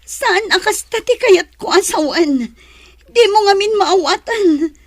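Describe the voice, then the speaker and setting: Lady acting as weepy young wife in a radio drama, super high pitched, plaintive, really dry close sound. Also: expressive, a little slow, dry recording. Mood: hurt. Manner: tearful, plaintive. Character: distressed young pregnant wife, wronged by her in-laws.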